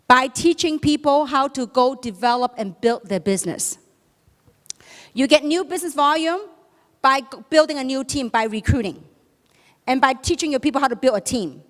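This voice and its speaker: A woman with a high, bright-sounding voice, whose first language is Chinese, delivers an emphatic motivational talk at a business seminar. She keeps asking the crowd rhetorical questions. Her voice rings out with the long reverb of a large hall. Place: large hall with a live audience.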